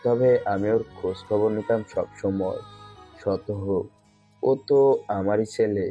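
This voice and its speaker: Man playing a dying old prime minister, very dark voice, grave and resigned, muffled lo-fi audio drama. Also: fairly low pitch, steady tone, a little slow, faint music behind, close to an everyday voice. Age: older.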